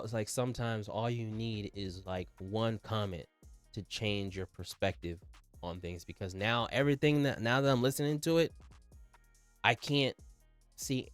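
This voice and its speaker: Male reaction host, lower register, dry close sound, slangy and repetitive, enthusiastic commentary. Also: clean tone, no room sound. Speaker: music reaction video host.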